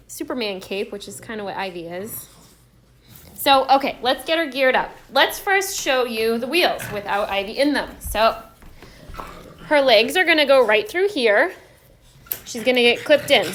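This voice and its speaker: A woman with a fairly high voice hosts a casual live stream from a roomy, echoing space. Her tone is bright and forceful. She talks to viewers in a chatty, affectionate way. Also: a little hiss or hum. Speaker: host and children's book author. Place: indoors in a room, live-streamed. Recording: echoey room.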